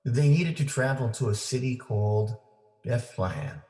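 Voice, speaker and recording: A man reading a children's story aloud for families, with deliberate, simple phrasing for young listeners. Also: mid-range voice, expressive, warm tone. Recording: roomy, echoing indoor sound.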